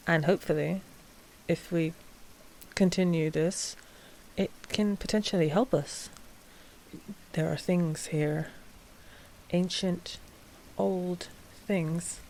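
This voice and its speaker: Woman playing hesitant vampire sire, lowish voice, noisy. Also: darkish tone. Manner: formal, hesitant, halting. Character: frazzled female vampire coven sire, polite and hesitant. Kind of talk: scripted audio roleplay drama.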